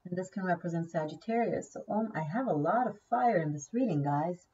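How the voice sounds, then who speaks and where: A woman's voice: on the low side, expressive, fairly quick, dark tone, far from the mic, muffled recording, a little hiss or hum. A woman whose first language is Hebrew, giving a casual tarot reading, chatty and thinking aloud, often repeating key words. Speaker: tarot reader.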